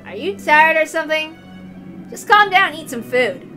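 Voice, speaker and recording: A woman's voice: high voice, animated, moderate pace, over loud music, voice pushed up. Woman reading as a level-headed, casual young man. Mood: dismissive.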